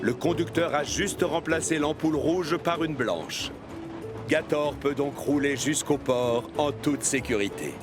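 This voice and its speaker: A man's voice: a little high, unhurried, lightly acted. A man narrating a children's cartoon as a scripted storybook tale. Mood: storytelling. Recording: music bed behind the voice.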